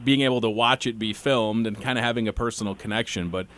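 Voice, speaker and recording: A man's voice: fairly low pitch, fairly even intonation, fast talker, a bit bright. Chatty man in his thirties interviewing. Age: 30s. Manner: friendly, chatty, stumbles over his questions. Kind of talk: casual interview.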